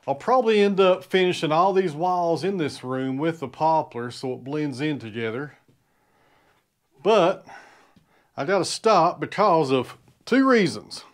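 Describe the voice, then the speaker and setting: A man's voice: on the high side, lively intonation, normal speed. A man in his thirties explaining woodworking machinery to camera in a workshop, speaking casually and addressing viewers as friends. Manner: plain-spoken, addresses viewers as friends and guys. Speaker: sawmill vlogger. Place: indoor wood workshop. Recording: guitar music playing underneath.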